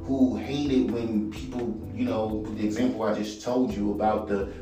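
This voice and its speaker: A man with a baritone voice ranting casually and profanely as a podcast host, rambling and animated. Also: moderate pace. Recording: echoey room, far from mic, music behind.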